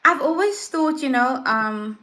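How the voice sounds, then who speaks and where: A South African woman in her thirties, a lifestyle vlogger chatting casually on a livestream, soft-spoken with frequent ums and restarts. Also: high-pitched, lively intonation, a little slow. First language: Afrikaans.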